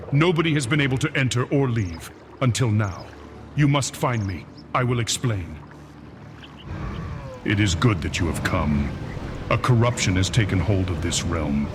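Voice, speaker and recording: A man's voice: lowish voice, background noise, lightly acted. A man voicing a solemn, troubled dragon consort in a fantasy game cutscene, grave and formal, with music behind.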